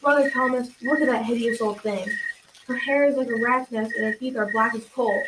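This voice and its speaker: A woman's voice: a little high, fairly even intonation, brisk, natural voice. A young female amateur playing a suspicious, scornful brother in a radio drama. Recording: echoey room, distant mic, loud noise.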